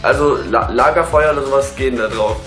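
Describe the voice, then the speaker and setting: A man's voice: mid-range voice, fast, echoey room, over loud music, voice pushed down, lightly acted. A man putting on the voice of an awkward, hesitant male hotel customer for a prank call. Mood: awkward, probing.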